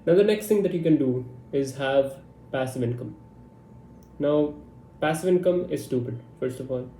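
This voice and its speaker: A man's voice: fairly high pitch, moderate pace, mellow. A man in his twenties giving a blunt, confident monologue to camera. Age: 20s. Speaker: vlogger. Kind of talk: casual talking-head monologue. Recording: echoey room with faint hum.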